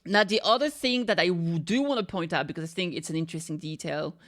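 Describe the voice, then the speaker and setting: A woman hosts a casual reaction commentary in a fairly low voice. She thinks aloud as she speculates, working through ideas step by step. She often tags her points with a quick check for agreement. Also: fairly bright tone. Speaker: reaction video host.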